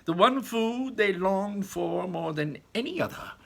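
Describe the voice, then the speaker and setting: An eccentric, proud chocolate factory owner from a children's storybook, acted out by a man reading aloud. The voice is pushed high and swoops animatedly. It is theatrical and emphatic, with a rough, husky edge. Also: expressive, measured pace, full character voice. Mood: eager, explaining. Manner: exclaiming, dramatic, emphatic storytelling.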